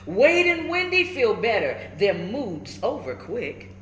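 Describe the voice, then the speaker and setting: A woman reads a picture book aloud for children with expressive, swooping intonation. She takes her time and checks in with her listeners. The room is echoey, with faint noise behind her. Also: on the high side, slow pace. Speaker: storybook reader. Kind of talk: animated children's read-aloud with asides to listeners. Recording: roomy echo with faint background noise.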